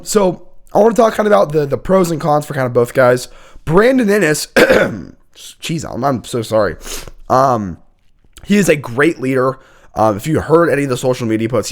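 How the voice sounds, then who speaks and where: A young man hosting a college football talk show, hoarse and sick with a nearly lost voice, rambling through stats with fillers and throat-clearing. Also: mid-range voice. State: sick, hoarse, losing his voice. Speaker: host. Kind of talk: casual sports commentary.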